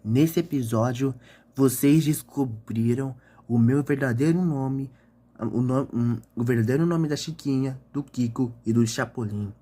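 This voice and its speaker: Mellow-voiced man recapping an episode. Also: medium pitch, unhurried.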